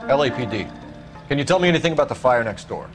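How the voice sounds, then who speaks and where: An actor playing a determined young American police detective who questions in a clipped, insistent, businesslike way for a video game. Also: male voice, medium pitch, big pitch swings, fast talker, music in the background, close to an everyday voice. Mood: focused. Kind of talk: dramatic video game acting.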